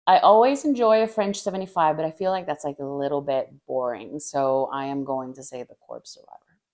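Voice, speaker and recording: A woman from Finland in her thirties, an artist giving earnest, thoughtful answers in a casual interview, seated at a table in a bright living room. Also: low voice, a little fast. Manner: thoughtful, earnest, with little laughs. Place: bright living room with art-covered walls. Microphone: camera across a table.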